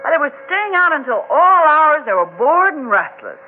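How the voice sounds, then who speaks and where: An American actress playing an energetic, sentimental middle-aged mother, earnest and expressive, in a 1960s radio drama. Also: sits high, moderate pace, a little hiss or hum. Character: energetic, sentimental, house-proud mother and wife. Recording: dull vintage 1960s radio recording.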